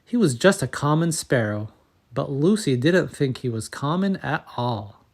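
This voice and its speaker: A man reads a picture book aloud for children. His mid-pitched voice is expressive and measured, shaped for storytelling, with each phrase set out carefully. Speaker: storybook reader and channel host. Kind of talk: scripted children's story narration.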